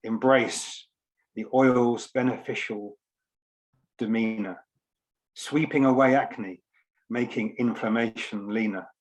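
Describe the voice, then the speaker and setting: Slow, low-voiced man reading poetry. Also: steady tone, poor audio. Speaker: poet reading at a book launch. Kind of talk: poetry reading with spoken introduction. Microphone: online video call.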